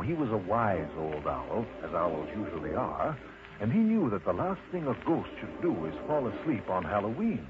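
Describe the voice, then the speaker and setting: A man narrating a children's story in an expressive, unhurried manner, addressing the listener directly. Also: medium pitch. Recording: muffled lo-fi recording with loud noise.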